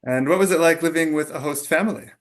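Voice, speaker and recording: A man's voice: fairly high pitch, quick pace. A man hosting a podcast interview, asking short, easygoing follow-up questions. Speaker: podcast host.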